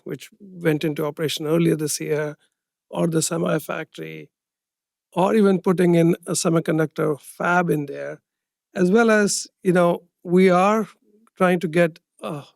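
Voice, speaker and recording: A man's voice: on the high side, vocal fry, darkish tone, dry recording, studio quality. A man speaking as a company executive, explaining in answers on an earnings call and checking with short tag questions.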